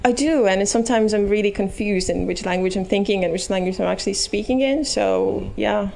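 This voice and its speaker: Gravelly-voiced woman panelist. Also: medium pitch, a little fast, darkish tone. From Estonia. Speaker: panelist, government advisor. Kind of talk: panel conversation.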